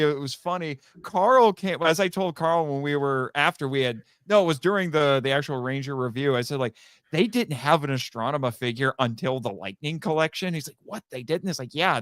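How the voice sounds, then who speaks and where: Higher-pitched man chatting in a dry-sounding space. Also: brisk, a bit bright, smooth, dry recording. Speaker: podcast guest. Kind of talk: casual fan chat on a live podcast.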